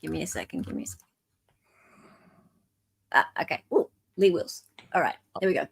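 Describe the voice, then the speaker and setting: An Australian woman hosting a casual live show, excitable and muttering to herself as she searches through documents. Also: sits low, fairly quick, vocal fry, slightly rough. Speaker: podcast host. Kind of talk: casual live chat while reading documents.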